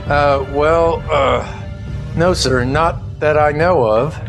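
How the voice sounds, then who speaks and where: A man's voice: on the high side, slow pace, creaky. An actor playing a dutiful, earnest army sergeant in a science fiction audio drama, respectful in his address. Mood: hesitant. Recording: music behind the voice.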